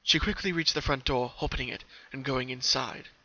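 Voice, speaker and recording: A man's voice: mid-range voice, fairly quick, pushed and bright. A man narrating a story as a dramatic reading, on a muffled lo-fi home recording.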